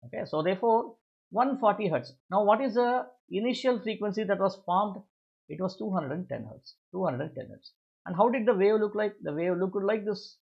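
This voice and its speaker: A slightly dull, lo-fi recording of a man with an Indian accent teaching a worked exam solution. His voice is husky and creaky. He is didactic, often asking a question, answering it himself and repeating key phrases. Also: high-pitched, moderate pace. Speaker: physics teacher.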